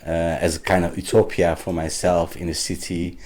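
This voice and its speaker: A man from Turkey who grew up in the Netherlands, a guest musician speaking in his third language and often pausing to search for words. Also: sits low, little pitch movement, a little mellow. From Turkey, raised in the Netherlands. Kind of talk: casual interview.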